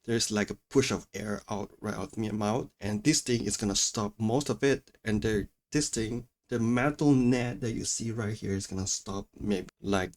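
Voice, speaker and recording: A man's voice: on the low side, normal speed, few pauses, darkish tone. A man presenting an audio tutorial in a casual, explanatory way, repeating himself for emphasis, recorded on a studio microphone in a home room. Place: small untreated home room with furniture. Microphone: close studio microphones, condenser then dynamic.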